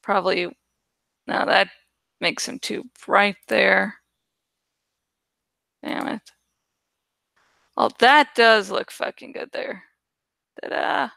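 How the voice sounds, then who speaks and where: A woman casually rambling as she edits artwork for her channel, thinking out loud, self-deprecating and swearing freely. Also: mid-range voice, takes it slowly, creaky, pauses often, no echo, clean studio sound. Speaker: video creator and host. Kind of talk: casual rambling commentary while editing artwork.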